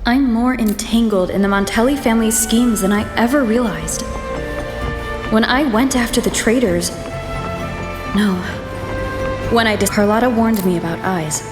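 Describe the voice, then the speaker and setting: A woman's voice: normal speed, long pauses, music in the background. Echoing, pensive adventurer woman. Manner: reflective, low-key inner monologue. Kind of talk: video game voice acting. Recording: echoing reverb with long tail.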